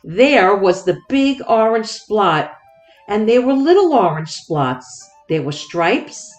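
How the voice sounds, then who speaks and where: A woman narrating a children's storybook expressively, with deliberate, dramatic phrasing. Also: mid-range voice, sing-song, soft background music. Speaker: children's book read-aloud host.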